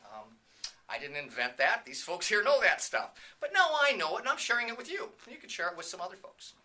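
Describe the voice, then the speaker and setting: Bright, forceful, chatty man giving a tour. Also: sits high, big pitch swings, fairly quick. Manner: informal, chatty, off-the-cuff. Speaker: CEO and presenter of a training company, giving a tour. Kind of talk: casual walking tour narration. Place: indoors, walking through a video production facility. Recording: light background noise, room ambience.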